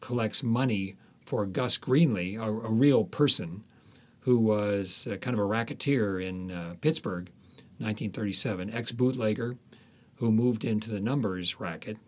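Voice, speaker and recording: Male author in interview, fairly low voice, conversational and thoughtful, halting delivery. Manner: halting, deliberate, pausing often. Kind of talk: reflective conversational explanation.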